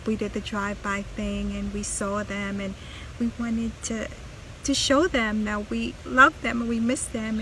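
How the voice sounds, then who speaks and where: Middle-aged woman host from Trinidad, reflective and unhurried, with background noise. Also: medium pitch. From Trinidad and Tobago. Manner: earnest, reflective, with frequent restarts. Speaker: vlog host. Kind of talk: personal storytelling vlog.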